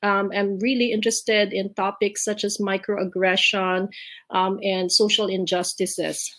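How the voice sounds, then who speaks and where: A woman's voice: medium pitch, flat delivery, a little slow, fairly bright tone. Reflective middle-aged woman from the Philippines. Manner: reflective, many ums and restarts. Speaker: leadership award speaker. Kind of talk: personal life story talk. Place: home, virtual event. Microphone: video call.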